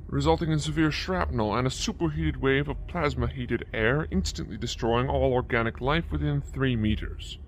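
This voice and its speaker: An earnest alien zealot soldier played by a man, mid-pitched and formal. He speaks in dutiful, literal statements in a sci-fi audio drama, with faint noise behind. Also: moderate pace, few pauses, close to an everyday voice. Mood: dutiful certainty. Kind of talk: amateur audio drama acting.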